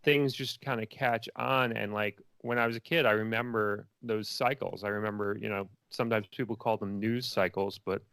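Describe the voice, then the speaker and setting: Smooth, lowish-voiced man chatting. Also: fairly even intonation, moderate pace, few pauses, fairly bright tone, no room sound. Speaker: interview guest, filmmaker. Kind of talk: casual, reflective interview answers.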